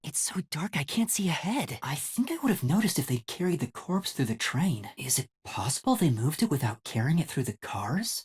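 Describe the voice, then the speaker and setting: A man's voice: on the high side, breathy edge, heavily acted. A man voicing a timid, earnest young detective in an English video game, light and boyish, hesitantly thinking aloud.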